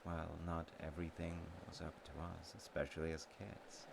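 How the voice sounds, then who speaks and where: A man playing a sweet, slightly slow, sentimental childhood friend in an intimate audio roleplay, speaking gently and haltingly, with trailing pauses. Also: low-pitched, little pitch movement, creaky, a little hiss or hum. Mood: fond reminiscing.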